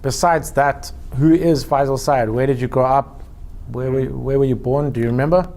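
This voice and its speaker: Playful talk show host. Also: male voice, mid-range voice, steady tone, darkish tone. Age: 40s. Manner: playful, teasing, asks quick questions. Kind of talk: casual interview.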